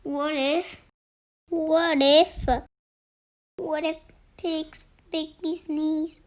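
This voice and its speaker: A reader playing a dramatic, high-pitched anxious elephant. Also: level tone. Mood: worried, dramatic. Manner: dramatic, halting, exclamatory.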